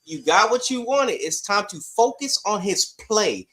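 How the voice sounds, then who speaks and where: A man's voice: high voice, big pitch swings, unhurried, over a noisy crowd. A man on a sports podcast arguing casually and emphatically, often repeating phrases to drive his point home.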